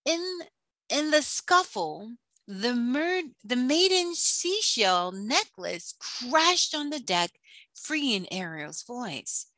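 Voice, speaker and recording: Woman, children's story narrator, bright forward voice, slow deliberate pace, dry close sound. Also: a little high, big pitch swings, no echo.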